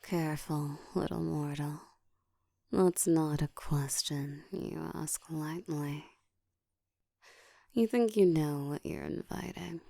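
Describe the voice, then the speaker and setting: Slow, whispering seductive vampire woman, voiced by an actress. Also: deep voice, dark tone, no echo, full character voice. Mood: dark, domineering. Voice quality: breathy, whispered. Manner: slow, deliberate, teasing, commanding. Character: seductive, domineering ancient female vampire, slow and menacing. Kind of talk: intimate ASMR roleplay monologue. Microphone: close microphone.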